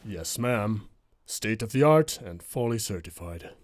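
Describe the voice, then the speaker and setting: Man playing a wry, lively security chief. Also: fairly low pitch, a little slow, dry recording. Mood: composed, polite. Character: gruff but easygoing adult security chief, composed. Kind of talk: animated fandub voice acting.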